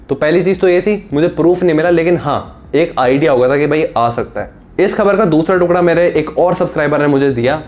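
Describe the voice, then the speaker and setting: A man's voice: on the high side, a little mellow, dull audio. A young man talking casually and directly to camera as a tech video host. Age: young adult. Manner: informal, direct address with rhetorical questions. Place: small indoor room. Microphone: clip-on lapel mic.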